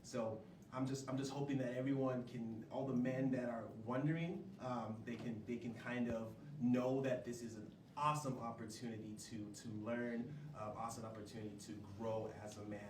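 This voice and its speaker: Halting man in an echoey, noisy room. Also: medium pitch, steady tone, darkish tone. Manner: halting, hesitant phrasing with pauses. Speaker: stage play cast member. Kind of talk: earnest interview talk. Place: indoor venue before a studio audience. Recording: echoey room, distant mic, noisy background.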